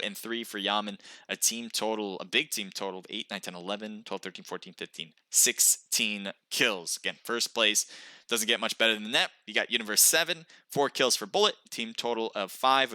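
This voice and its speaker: A man casting live mobile esports matches, calling fights with quick excitement and chatting with viewers between games. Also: mid-range voice, slight background noise. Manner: excited calls during action, chatty when reading chat. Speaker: esports caster and stream host. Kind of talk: live play-by-play commentary.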